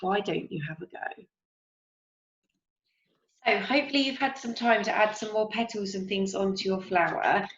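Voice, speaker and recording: A young woman teacher with a slightly croaky voice, gently leading a craft lesson for young children and asking them questions as she goes. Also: mid-range voice. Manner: slow, encouraging, asks children questions. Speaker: primary school teacher. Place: home kitchen, indoors. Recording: roomy, dull lo-fi sound.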